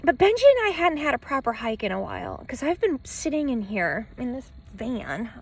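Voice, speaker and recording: High-voiced, creaky, animated woman vlogger. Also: moderate pace, a little hiss or hum. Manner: stop-and-start, rambling, repeats phrases for emphasis. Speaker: travel vlogger living in a van. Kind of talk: rambling personal vlog, talking to camera and to a dog. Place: inside a parked camper van by the ocean. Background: faint ocean wash.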